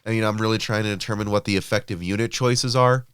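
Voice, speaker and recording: A man with a deep, clear and resonant voice chats casually about his wargaming army on a podcast. He sounds slightly sniffly from allergies and fills his talk with easy asides, recorded close and dry. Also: steady tone, fast, a bit bright, no room sound. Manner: chatty, filler-heavy, laughs easily. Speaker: podcast co-host.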